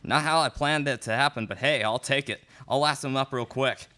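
A man's voice: mid-range voice, brisk, pushed and bright, close to an everyday voice. A young man playing a cocky, menacing outlaw leader in a live student radio play. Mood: confident. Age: young adult. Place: classroom studio, indoors. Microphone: stand microphone. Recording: noisy live room recording with clicks.

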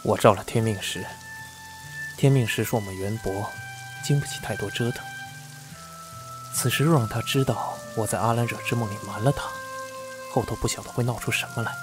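A man acting an aloof, possessive immortal lord in a fantasy audio drama, cool, measured and sure of himself. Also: lowish voice, over music, close to an everyday voice. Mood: calm, deliberate.

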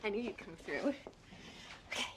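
A woman's voice: mid-range voice, very animated, moderate pace, very noisy, natural voice. An actress playing a perky young newspaper woman who chats and coaxes a friend into a favor. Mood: coaxing. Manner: chatty, coaxing. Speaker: newspaper staffer. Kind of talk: TV drama acting. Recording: drama audio with music score.

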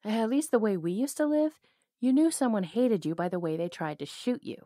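Woman acting a flirty sardonic cowgirl outlaw, asmr roleplay, soft dark voice, super dry close mic. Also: mid-range voice, expressive, a little fast, no room sound, natural voice. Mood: urgent, pleading. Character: bold, teasing, lovelorn female cowgirl outlaw.

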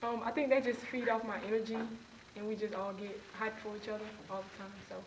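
A young woman college player answers in a flat, even voice, shy and hesitant with plenty of "um"s. She sounds set back from the mic, with clear room noise around her. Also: mid-range voice, fast talker, distant mic. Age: young adult.

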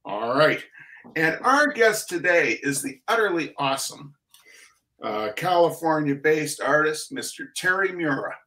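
A man's voice: a little high, expressive, measured pace, sharp tone, poor audio. A middle-aged man hosting an art talk show over a video call, with an exuberant, showman-like way of talking. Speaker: host. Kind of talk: lively video-call interview. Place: home studio room, indoors.

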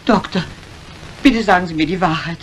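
An actress playing an excitable, fearful housekeeper with a plaintive, melodramatic delivery in vintage thriller style. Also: female voice, on the low side, moderate pace, lightly acted. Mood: anxious. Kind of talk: 1950s German dubbed thriller acting. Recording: faintly noisy vintage soundtrack.

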